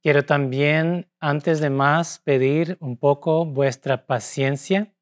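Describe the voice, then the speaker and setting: A man from Portugal preaching a sermon in Spanish with a Portuguese accent, his second language, speaking deliberately and pausing between phrases. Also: on the high side, fairly even intonation. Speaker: pastor.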